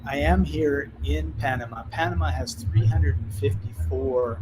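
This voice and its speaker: A man with a Canadian accent, a podcast host, telling a travel anecdote in lively conversation and acting out the people he quotes. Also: medium pitch, measured pace, background noise. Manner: animated anecdotal telling, acts out quoted voices. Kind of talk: casual storytelling in conversation. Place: on a call from abroad. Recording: clear music playing behind.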